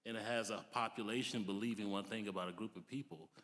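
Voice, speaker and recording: Lowish-voiced young man in an echoey hall. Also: fairly even intonation, quick pace, a bit bright. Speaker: divinity student, discussion participant. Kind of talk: conversational reflection at a public discussion. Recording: echoey hall with long reverb.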